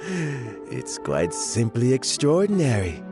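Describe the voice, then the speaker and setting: A man playing an enthusiastic cooking contest judge in a video game, deliberate and theatrical, relishing every detail. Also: mid-range voice, huge pitch swings, music in the background. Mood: impressed, savoring.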